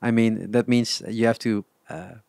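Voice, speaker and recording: A man's voice: on the low side, fairly even intonation, measured pace, vocal fry, darkish tone, no echo, clean studio sound. A man from the Netherlands, hosting a casual interview with curious questions and frequent restarts. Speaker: podcast host.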